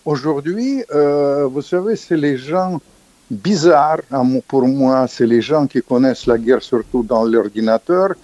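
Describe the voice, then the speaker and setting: An older man talks in an emphatic, halting way, often restarting his sentences and jumping up in pitch when he stresses a point. The sound is dry and a little dull, with no room around it. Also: animated, warm tone, dry recording. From Ukrainian background. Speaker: author guest. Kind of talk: interview, political commentary.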